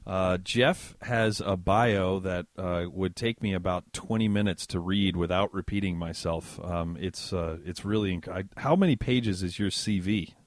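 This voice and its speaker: Male podcast host, low register, dry close-mic delivery, playful and loose. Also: a little slow, few pauses, no room sound. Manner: joking, relaxed, many fillers. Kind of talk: casual interview chat.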